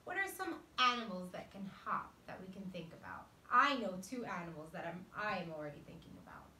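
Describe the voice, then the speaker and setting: Lady teacher reading to little kids, super expressive and bright, kinda echoey w a bit of noise. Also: on the low side. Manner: expressive, dramatic emphasis, pausing to question listeners. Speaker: teacher reading aloud to young children. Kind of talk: animated storybook read-aloud with questions to children. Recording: roomy, distant mic with faint noise.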